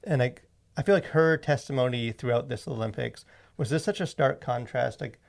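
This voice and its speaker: Man chatting casually, with creaky voice. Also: mid-range voice. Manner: halting, rambling delivery with frequent verbal fillers. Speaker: podcast co-host. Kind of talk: casual conversational podcast discussion.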